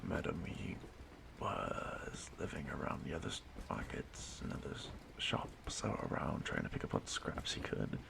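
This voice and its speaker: Over a noisy home recording comes a man performing an ancient immortal stalker from an audio roleplay. He is courteous, wistful and softly menacing, drawing out his halting musings in a deeply creaky murmur. The pitch wanders freely, and phrases often sink into whispers. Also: very animated, full character voice. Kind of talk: slow intimate audio roleplay monologue.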